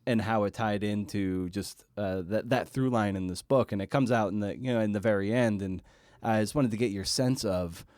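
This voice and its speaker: A man with a fairly low voice interviews in a casual, conversational way. He feels his way haltingly toward each point. The sound is dry, with no room echo. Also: fairly even intonation, a little mellow. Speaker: podcast host, interviewer.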